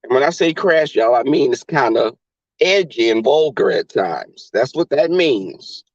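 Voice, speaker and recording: A man with a Southern accent hosting a live stream in casual chat, crass and flamboyant, often leaping into high squeals. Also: lively intonation, unhurried, dry recording. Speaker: livestream host. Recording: dull lo-fi stream audio.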